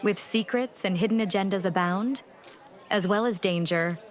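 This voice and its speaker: A woman's voice: mid-range voice, moderate pace, natural voice. Woman playing a portentous elf rune-reader. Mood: ominous, probing. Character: female elf rune-reader, cryptic, probing fortune teller. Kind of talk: fantasy audio drama acting.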